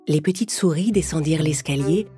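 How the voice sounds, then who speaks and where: A woman storyteller narrating a scripted fairy tale for children, expressive and clearly phrased. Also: sits low, brisk. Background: music.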